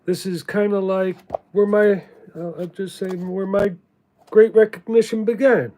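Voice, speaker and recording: A man giving a contemplative spiritual monologue in a halting, deliberate way, repeating words for emphasis. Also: sits high, fairly even intonation, mellow, slight background noise. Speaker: spiritual teacher reading from own writings.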